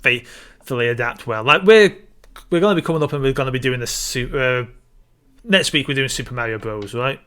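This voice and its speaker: A man's voice: medium pitch, fairly quick, sharp tone, clear, resonant tone. A man co-hosting a casual film discussion, giving his opinions and repeating points for emphasis. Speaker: podcast co-host.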